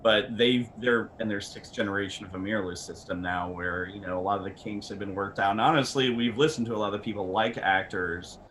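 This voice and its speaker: A man's voice: on the low side, fairly even intonation, a little fast, hardly any pauses, a bit bright. A man speaking casually as a guest expert on a panel, conversational and rambling from one thought to the next. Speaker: camera company representative, guest expert. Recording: faint background noise.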